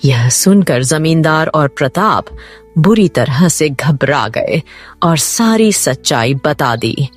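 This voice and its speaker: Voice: medium pitch, lively intonation, takes it slowly, soft background music. A narrator reading a scripted story in a dramatic storytelling style.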